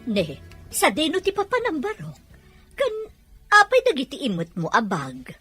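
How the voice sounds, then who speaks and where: A woman's voice: high voice, huge pitch swings, moderate pace, pushed and bright, soft background music, full character voice. A woman playing a caring, welcoming older-sister figure in an Ilocano audio drama. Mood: concerned, caring. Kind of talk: Filipino radio-drama dialogue in Ilocano.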